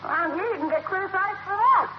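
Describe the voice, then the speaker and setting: A man's voice: very high-pitched, lively intonation, moderate pace. An actor playing a wisecracking, slangy American boy with a whiny, cheeky manner in a 1940s radio comedy. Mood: reluctant, wisecracking. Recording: muffled, very noisy old radio recording.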